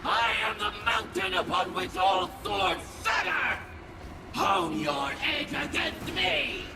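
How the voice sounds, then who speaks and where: An actor playing an ancient, boastful alien villain in a video game, taunting in grandiose, theatrical declamation with a gravelly voice. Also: high voice, sing-song, slow pace, exaggerated voice. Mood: boastful, challenging. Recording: echoing voice under loud music.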